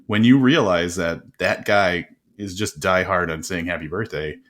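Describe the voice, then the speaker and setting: A man hosting an interview podcast, telling quick stories in a casual, animated way with frequent laughs and restarts. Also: sits low. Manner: animated storyteller, laughs often, many restarts. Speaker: podcast host.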